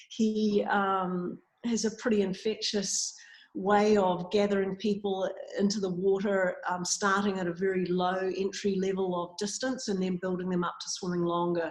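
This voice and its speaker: A woman, a swim coach chatting through interview answers with plenty of ums and uhs over a video call. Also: medium pitch. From New Zealand. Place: at a swimming facility. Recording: echoey room sound.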